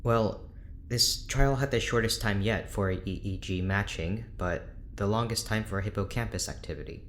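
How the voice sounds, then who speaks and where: A man's voice: lowish voice, normal speed, a bit bright, a little hiss or hum, natural voice. A man playing a reserved, weary research doctor in an audio drama, speaking in a guarded, hesitant, clinical way.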